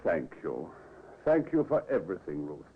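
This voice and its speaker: A sickly, proud husband in his fifties in a vintage radio play. He is stiff, fretful and hesitant, with very varied pitch. The recording is tinny, with faint hiss. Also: sing-song, moderate pace. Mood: subdued. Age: 50s. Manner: formal, hesitant, peevish. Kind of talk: 1950s radio drama acting.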